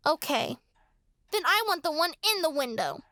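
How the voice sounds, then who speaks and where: A woman's voice: sits high, sing-song, moderate pace, pushed and bright, dry recording, clean studio sound, heavily acted. A woman playing a vain, fussy, spoiled little girl in a radio drama, with a petulant, blunt manner. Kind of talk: horror radio drama acting.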